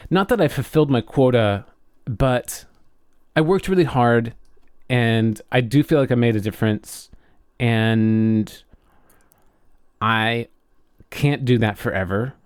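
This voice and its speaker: A man chatting casually and reflectively as a podcast co-host, often halting as he searches for words. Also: mid-range voice, smooth, no echo, clean studio sound.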